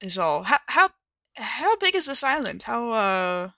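Female tabletop player, bright forceful tone, wry improvised chat, dry studio-close sound. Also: a little high, very animated, unhurried, dry recording. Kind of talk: casual in-character improvised chat.

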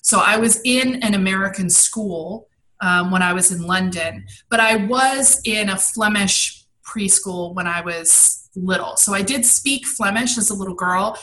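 A woman in her thirties, a style coach, answering interview questions in a chatty way with many pauses and ums. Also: mid-range voice, pushed and bright, poor audio. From grew up abroad and in Maryland, US. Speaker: guest, personal style coach. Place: home in Maryland.

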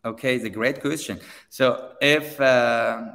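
A man whose first language is Persian, a seminar trainer answering interview questions in halting English with many restarts. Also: mid-range voice, creaky, big-room sound. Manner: halting, many restarts, non-native grammar, animated when pressed. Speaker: seminar trainer and company founder.

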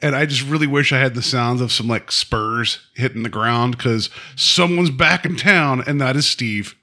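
Jokey male co-host, crisp bright tone, loose rambling podcast chatter. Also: medium pitch, moderate pace. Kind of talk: casual comic banter. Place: office used as recording studio.

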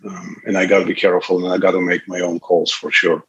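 A man in his late fifties from Russia, speaking, gives a candid, halting personal testimony at a recovery meeting. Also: sits low, little pitch movement, fairly bright tone, highs cut off. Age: 50s. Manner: candid, halting, pauses often.

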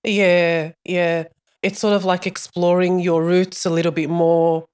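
A woman's voice: on the low side, flat delivery, a little slow, darkish tone, clear, resonant tone. A woman hosting a podcast interview, asking friendly, encouraging questions. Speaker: podcast host.

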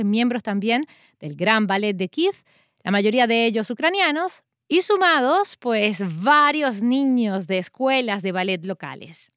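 A woman presents a scripted radio segment at a measured pace. Her voice sits a little high and is recorded very dry and close, with no room sound at all. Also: a bit bright, dry recording. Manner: measured, script-reading delivery. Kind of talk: read news segment.

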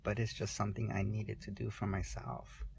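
A woman in her forties speaking candidly in an interview, halting and soft-spoken, often restarting her sentences. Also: very deep voice, flat delivery, vocal fry, husky. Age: 40s.

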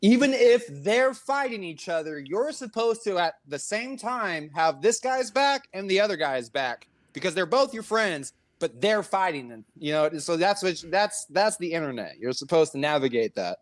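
A man's voice: sits high, very animated, normal speed, few pauses, no echo. A man chatting casually in an online voice chat, wry and rambling, often mockingly mimicking other people. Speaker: guest in a live voice chat.

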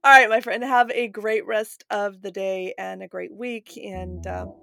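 Woman late 40s, podcast host, casual chatty talk, bit of vocal fry, close dry recording. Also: mid-range voice, steady tone, a little slow, pushed and bright, no echo, soft background music. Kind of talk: casual conversational interview.

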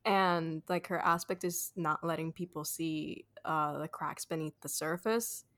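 A woman's voice: low-pitched, steady tone, a little slow, a bit bright. A young woman guest in a casual film review chat, chatty and peppered with "like". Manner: chatty, lots of "like".

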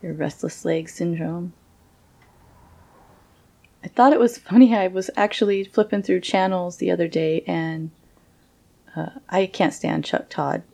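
A woman with a slightly low voice trades wry, casual banter as a podcast co-host. She tells stories with animation and laughs often. Her voice comes through clear and full even when she sits back from the mic. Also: unhurried, pauses often. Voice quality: voice carries clearly from a distance. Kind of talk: casual chat.

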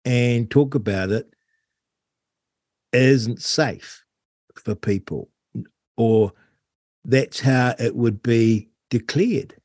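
A man hosts an interview in a relaxed, wry manner. He draws out words for emphasis, his voice sitting at a middle pitch. The sound is close and dry. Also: drawn-out words, long pauses, dry recording. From New Zealand. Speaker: host. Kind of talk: casual interview chat.

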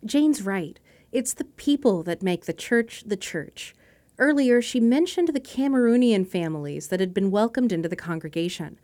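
A woman host narrating a scripted local history segment in a measured, clear way. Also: mid-range voice, lively intonation, darkish tone.

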